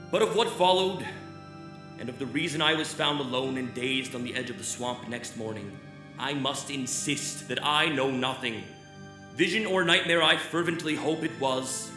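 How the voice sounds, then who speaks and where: A man's voice: medium pitch, close to an everyday voice. A man playing an anguished occult scholar in a theatrical old-time radio drama, speaking in formal, grave, emphatic phrases. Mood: anguished, insistent. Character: anguished, haunted male occult scholar giving a statement. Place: theater stage, live performance. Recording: roomy live stage, music underneath. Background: clear music.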